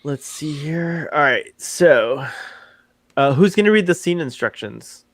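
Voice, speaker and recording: A man hosting a live stream, chatting casually in an excitable, rambling way and repeating himself. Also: fairly high pitch, measured pace, no echo. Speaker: stream host.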